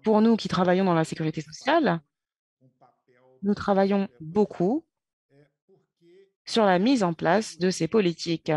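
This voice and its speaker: A woman giving a simultaneous interpretation of conference speeches, halting, with pauses and self-corrections. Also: mid-range voice, creaky, a little mellow, no echo.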